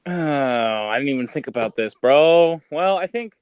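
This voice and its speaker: Male podcast co-host, medium pitch, loose slangy thinking-aloud chat, very dry room. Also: lively intonation, measured pace, clean tone, no room sound. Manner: slangy, rambling, thinking out loud.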